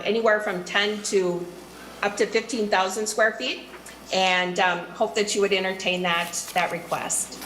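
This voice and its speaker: A woman's voice: mid-range voice, a bit bright, echoey room, slight background noise, poor audio. A woman giving prepared testimony for her youth center at a legislative hearing, earnest and fluent with the odd filler. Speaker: nonprofit director testifying.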